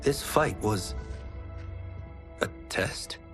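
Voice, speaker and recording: An earnest, humble young fighter in a video game. He talks slowly and sincerely with expressive pitch, music clearly behind him. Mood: puzzled. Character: humble, earnest young village martial artist. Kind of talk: video game cinematic acting.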